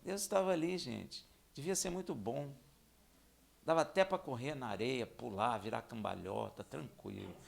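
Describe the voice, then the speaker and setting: A man from Minas Gerais with a Brazilian accent, preaching and teaching scripture in a conversational way, with rhetorical questions, dramatic emphasis and hushed asides. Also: medium pitch, normal speed, vocal fry. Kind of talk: expository sermon with scripture reading.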